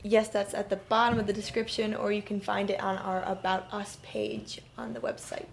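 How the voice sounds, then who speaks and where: A woman moderating the chat of a live stream, reading out viewer questions in a loud voice. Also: medium pitch, flat delivery, normal speed, gravelly, slight background noise. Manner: reads questions aloud, a loud voice. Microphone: microphone switched off, picked up from afar.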